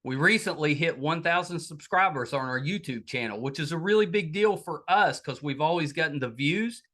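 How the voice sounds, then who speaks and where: Bright-voiced American man hosting a podcast. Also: on the high side, animated, moderate pace. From United States. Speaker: podcast host. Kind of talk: casual podcast outro and appeal to listeners.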